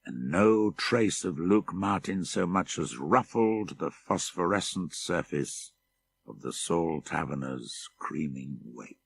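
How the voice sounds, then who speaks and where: A man reading a horror story as an audiobook narrator, measured and deliberate, with dramatic emphasis. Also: sits low, expressive, vocal fry, slightly rough, dry recording.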